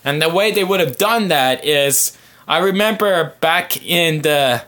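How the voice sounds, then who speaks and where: A man hosting a casual fan podcast, answering viewer comments in a halting, rambling way as he thinks aloud. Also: fairly high pitch, lively intonation, sharp tone. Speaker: podcast host.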